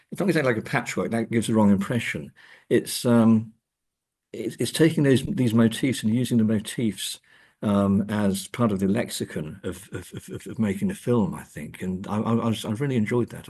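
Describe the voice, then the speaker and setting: A man with a deep, rough-edged voice talks as an academic guest. His conversational delivery is halting, circling back over words as he works out each thought. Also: a little mellow. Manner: hesitant, halting, repeats words while thinking. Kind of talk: conversational interview.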